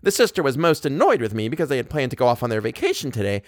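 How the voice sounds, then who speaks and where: Man putting on a high, haughty bossy wife. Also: wildly swooping pitch, brisk, dry recording, studio quality. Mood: scolding, self-important. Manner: imperious, emphatic, self-important. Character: haughty, bossy older wife, scolding and self-important. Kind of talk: audiobook character voice.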